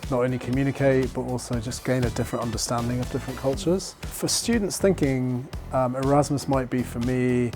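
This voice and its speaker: A man's voice: medium pitch, fairly even intonation, brisk, few pauses. Man as interviewer, easygoing and friendly, mid-range voice, light music in the background. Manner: friendly, casual, some fillers. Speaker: interviewer, company director. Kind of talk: conversational interview.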